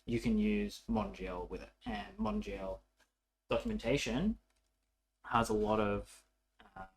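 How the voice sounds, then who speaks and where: A man presenting a programming tutorial in a casual, unscripted way, haltingly thinking aloud as he works. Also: on the low side, very animated, gravelly, a little hiss or hum, low-quality recording. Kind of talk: casual coding walkthrough, unscripted.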